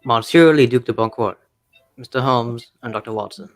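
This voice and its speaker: A man acts a jealous young aristocrat in a radio drama. He is curt, sulky and petulant, in a medium-pitched voice over faint music. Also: moderate pace, no room sound, lightly acted. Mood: polite, hurried.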